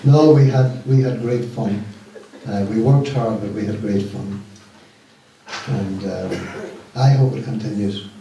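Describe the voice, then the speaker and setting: Man, lowish voice, halting heartfelt thank-you speech, very echoey noisy hall recording. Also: mellow, far from the mic. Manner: halting, sincere. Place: large echoey indoor hall, recorded from far off.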